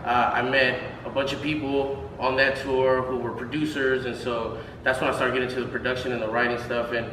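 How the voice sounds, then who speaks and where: A man's voice: medium pitch, little pitch movement, brisk, hardly any pauses. A man in his thirties from Houston, Texas, a music producer chatting as a guest, rambling with many fillers and restarts. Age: 30s. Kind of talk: casual interview chat. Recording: roomy, distant mic, faint noise.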